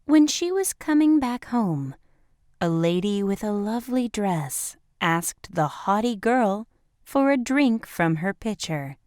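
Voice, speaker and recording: A woman narrating a children's bedtime story in an expressive, measured storybook style. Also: medium pitch, dry recording, clean studio sound.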